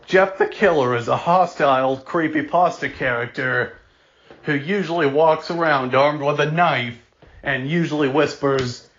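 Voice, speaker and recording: A man playing a formal, grave emergency alert announcer, reading scripted warnings in a deliberate, measured way. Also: on the high side, fairly bright tone. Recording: dull lo-fi audio.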